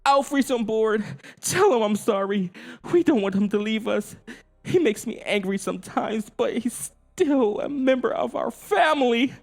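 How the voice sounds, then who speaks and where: A man's voice: very high-pitched, lively intonation, a little slow, gravelly, pitched above the performer's own voice, exaggerated voice. A man voicing an earnest, remorseful father in a children's storybook, with a plaintive, emotional delivery. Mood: pleading. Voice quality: raised, plaintive tone. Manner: pleading, emotional.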